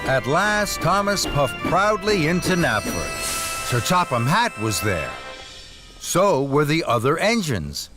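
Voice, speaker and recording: A man narrating a scripted children's story in an expressive storytelling manner. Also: on the high side, slow pace, over music.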